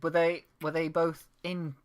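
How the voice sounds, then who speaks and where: A man's voice: fairly high pitch, completely flat delivery, measured pace. A man in his thirties co-hosting a casual chat, explaining things and teasing his co-host. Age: 30s.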